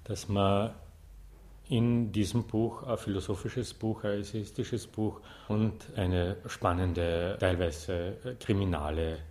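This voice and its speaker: A man's voice: fairly low pitch, fairly even intonation, slow pace, darkish tone. A man giving an informal, enthusiastic opinion in a conversational interview style.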